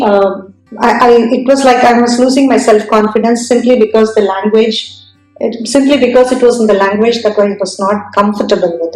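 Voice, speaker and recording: A woman from India with an Indian accent, telling her career story in an interview, speaking thoughtfully with many pauses and restarts. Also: medium pitch, a little mellow, reverberant, rough recording. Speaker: entrepreneur guest.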